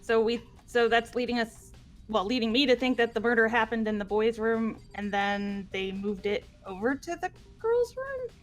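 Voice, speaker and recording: A woman chats casually as a player working through a game with a group. Her voice sits fairly high. Quiet music plays behind her. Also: lively intonation, moderate pace.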